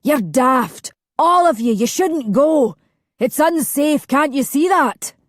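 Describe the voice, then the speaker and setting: Woman reader doing a young man in a nightmare, shouting and desperate, pitched high. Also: expressive, takes it slowly, dry recording, clean studio sound.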